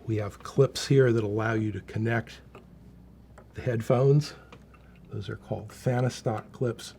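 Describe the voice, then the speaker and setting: A man's voice: on the low side, fairly even intonation, unhurried, vocal fry, warm tone. A man giving a plain, unscripted, instructive explanation as he demonstrates equipment. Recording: faint background noise.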